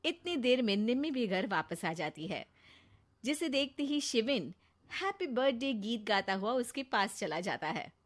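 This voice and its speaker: A woman tells a cartoon moral story as its narrator. Her voice is fairly high and animated, with lively rises and falls. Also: moderate pace. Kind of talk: scripted Hindi storytelling for a cartoon.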